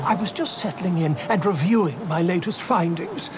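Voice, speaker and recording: Pompous, high-voiced excitable scholar. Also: male voice, normal speed, background noise. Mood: jovial, grand. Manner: theatrical, florid, self-important. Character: pompous, excitable male self-styled scholar of monsters. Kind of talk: fantasy video game acting.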